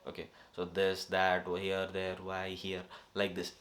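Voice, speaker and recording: A man's voice: low voice, monotone, measured pace, fairly bright tone. A young man with an Indian accent, a native Kannada speaker, talking casually to camera in a vlog, hesitant and full of fillers and restarts. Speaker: vlogger. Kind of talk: casual self-improvement vlog.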